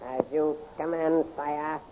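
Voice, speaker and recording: Subdued officer, muffled radio. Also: male voice, on the high side, fairly even intonation, slow, mellow. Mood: deferential. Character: deferential male officer serving a royal commander. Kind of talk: 1930s radio serial acting. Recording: muffled old radio recording, music behind.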